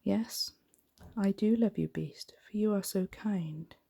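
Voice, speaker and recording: A woman voicing a kind, honest young fairy-tale heroine in a soft, breathy, sincere voice. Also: mid-range voice, slow, no room sound, studio quality, heavily acted. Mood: tender.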